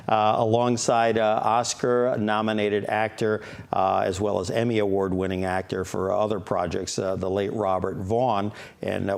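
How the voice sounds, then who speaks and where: A man hosting a studio interview show, talking haltingly with many "uh" fillers. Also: on the low side, vocal fry, a little mellow. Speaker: talk show host.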